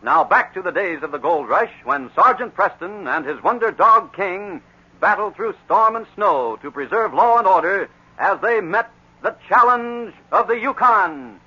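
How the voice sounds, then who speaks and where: Dramatic 1940s radio announcer, bright male voice. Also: high-pitched, expressive, unhurried, slightly rough. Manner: dramatic, emphatic delivery. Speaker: announcer and narrator of a radio adventure serial. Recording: muffled, noisy 1940s radio recording.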